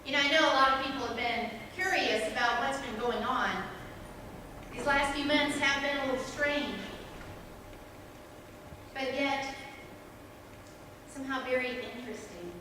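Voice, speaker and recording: A woman playing a shy but eager older priest's wife in a church monologue, chatting modestly to a crowd. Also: high-pitched. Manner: chatty, modest, slightly bashful storytelling. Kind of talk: first-person church dramatic monologue. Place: large church sanctuary. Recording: very echoey church, distant mic, clear noise.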